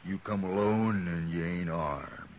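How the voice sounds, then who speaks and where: A man's voice: sits low, unhurried, dull audio, slight background noise. An actor playing a brutal male outlaw on a 1950s radio western, with menacing speech and coarse frontier grammar. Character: brutal vengeful male outlaw, touchy about being laughed at. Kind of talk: 1950s radio drama acting.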